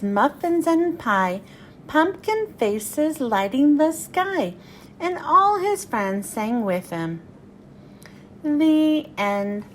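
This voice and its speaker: A woman's voice: high voice, a little hiss or hum. A woman reading a children's picture book aloud and playing up every sound word with animation. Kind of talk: read-aloud storytelling for kids.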